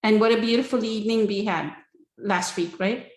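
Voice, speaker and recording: A woman's voice: mid-range voice, normal speed, darkish tone. A woman hosting an online spiritual question and answer session, speaking conversationally and earnestly, often checking in with her listeners. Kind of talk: spiritual talk, conversational teaching. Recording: echoey, roomy sound.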